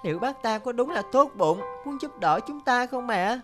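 Woman doing a stubborn lil cartoon baby bird, kiddy whiny voice, fairly high, music under it. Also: animated, normal speed, lightly acted. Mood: pouty, distrustful. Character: small stubborn fearful baby bird, childlike and distrustful. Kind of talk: cartoon dubbing. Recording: music behind the voice.

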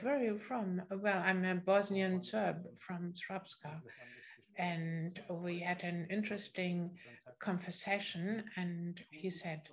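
A middle-aged man, a politician speaking in a panel discussion over a video call from his office, halting with many fillers. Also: high-pitched, low-quality recording. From Germany.

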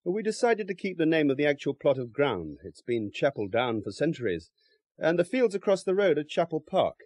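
An actor playing an unhurried, discouraging local country man in a radio drama, matter-of-fact and dry in manner. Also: on the high side, very animated, a little mellow, no echo. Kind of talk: 1970s radio drama acting.

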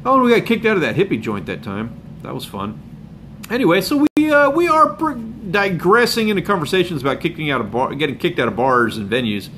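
A man hosts a fishing channel live stream from home, chatting loosely with viewers and sounding hoarse from a cold. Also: fairly high pitch, sing-song, brisk, a bit bright, a little hiss or hum. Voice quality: rambling, laid-back, with chuckles and filler words. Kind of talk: casual live stream chat. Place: home room with bookshelves and a window. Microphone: phone camera up close.

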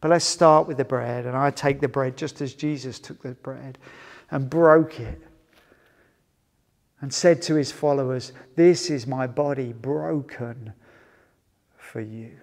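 A man in his forties, a pastor leading communion, speaking earnestly in short phrases with pauses and soft trailing endings. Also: mid-range voice, creaky. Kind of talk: prayer and scripture reading for church service. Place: large empty indoor sports hall. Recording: echoey sports hall with long reverb.

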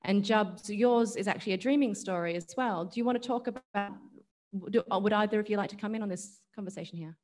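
A woman moderating a film panel, brisk and encouraging as she hands over to speakers. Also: medium pitch, a little mellow.

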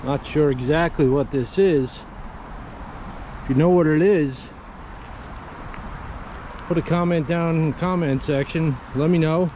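A man in his forties talks to his viewers in an upbeat, chatty way while he works outdoors on an old railroad bridge. His voice sits a bit high. The wearable action camera picks up clear background noise from outside. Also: unhurried, pauses often, warm tone, highs cut off. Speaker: magnet fishing vlogger. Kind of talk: casual outdoor vlog narration. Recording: noisy outdoor action-cam audio.

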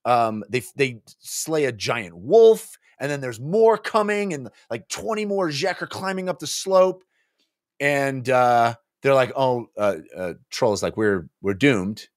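A man in his forties gives a casual, jokey recap of a story. His delivery is lively and swoops around as he puts on characters' lines. The sound is dry and close, like a home studio microphone. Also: mid-range voice, a little slow, no echo. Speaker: book club podcast host. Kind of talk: casual recap chat about a novel. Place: small indoor home studio. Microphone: close studio microphone on a boom arm.

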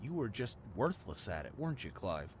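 A man's voice: fairly low pitch, sing-song, moderate pace, slight background noise. A man playing a defiant young tough in an audio drama, sarcastic and cutting as he goads a rival. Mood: goading. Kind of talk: audio roleplay acting.

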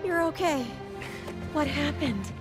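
A woman's voice: high voice, lively intonation, takes it slowly, loud music behind the voice. A middle-aged woman playing a caring, concerned mother in a video game. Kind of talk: video game cutscene acting.

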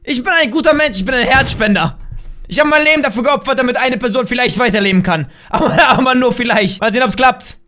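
A hoarse young man in his twenties, loudly and playfully commentating a game from a small room at home, talking into a desk mic while wearing a headset. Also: extremely high pitch, fast, a little hiss or hum. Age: young adult. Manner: loud, shouty, laughing, playful exclamations. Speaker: gaming YouTuber. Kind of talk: excited gameplay commentary.

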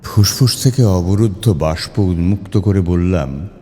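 Very deep, slow male storyteller. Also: warm tone. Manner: suspenseful, deliberate pauses and repeats. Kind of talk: dramatic scripted ghost story narration. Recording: long hall reverb, music behind.

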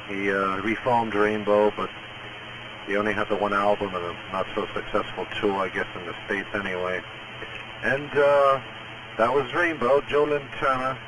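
A man hosting a music show over shortwave radio, announcing songs in a casual, loose, off-the-cuff way with pauses. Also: on the low side. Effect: shortwave radio transmission. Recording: hissy, noisy shortwave radio broadcast.

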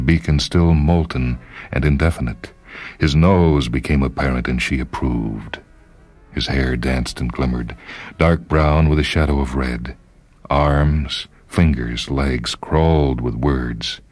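A man's voice: rumbling low, slow, a little mellow. A man reading a short story as dramatic literary narration. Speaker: story reader. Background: music.

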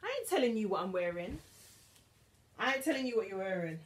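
A British woman in her thirties chatting to camera in a beauty haul vlog, animated and dropping to whispers for asides, filmed in her dressing room. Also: mid-range voice, a little fast. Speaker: beauty vlogger. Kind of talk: casual haul chat to camera. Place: bedroom dressing room with vanity, indoors.

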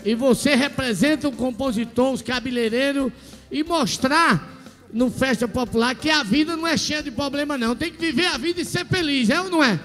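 A man's voice: very high voice, a little fast, fairly bright tone. A middle-aged Brazilian man hosting a TV variety show, teasing and boisterous in his interview banter, repeating himself. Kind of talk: playful on-stage interview banter. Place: large indoor TV studio stage. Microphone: handheld microphone. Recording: echoey TV studio hall, music.

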